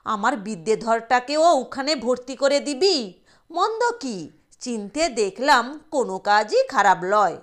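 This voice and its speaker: A curious village betel seller played by a woman in an audio story, high in pitch and slow in pace. He is admiring and teasing, in rustic dialect. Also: very animated. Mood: hopeful. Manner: teasing rural dialect talk.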